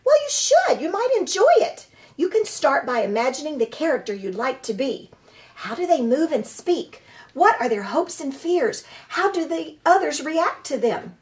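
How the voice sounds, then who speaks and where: A woman's voice: high-pitched, big pitch swings, normal speed, voice pushed up. A woman voicing a dramatic, show-off female opossum who gushes about acting. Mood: theatrical. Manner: gushing, theatrical.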